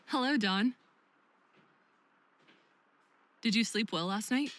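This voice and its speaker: A woman playing a caring but firm friend in a visual novel, questioning in a measured, probing way. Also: fairly high pitch, a bit bright, no room sound, lightly acted. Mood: calm.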